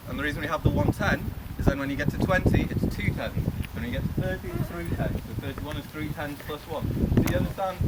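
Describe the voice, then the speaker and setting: A man's voice: on the high side, very noisy. A young man, teaching a circle of students outdoors in a playful, joking way, with the group calling the words back after him. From Wales. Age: young adult. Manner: playful, jokey, drills words for the group to repeat. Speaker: language teacher. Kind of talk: outdoor call-and-response lesson. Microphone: handheld phone camera from the circle's edge. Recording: outdoor phone clip with a loud crowd. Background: group of students repeating words.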